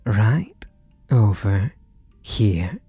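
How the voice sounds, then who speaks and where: A man's voice: lowish voice, expressive, very slow, warm tone, breathy edge, no room sound, soft background music, exaggerated voice. A man voicing an obsessive, devoted young butler who speaks to the listener in an intimate, possessive and teasing way. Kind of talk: roleplay audio drama to listener.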